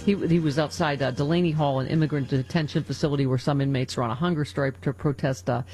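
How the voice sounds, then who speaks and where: A woman's voice: low voice, steady tone, fast, darkish tone, soft background music. A middle-aged woman hosting a political talk radio show, reading news items and joking sardonically between them. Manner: sardonic, laughs often, reads news items aloud.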